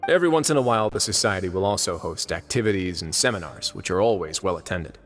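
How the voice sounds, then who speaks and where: An actor voicing a composed, wry duke in a video game, speaking in a dry, polite, unhurried manner. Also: male voice, deep voice, lively intonation, faint music behind. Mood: composed, explanatory. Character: composed, wry duke running an underwater prison.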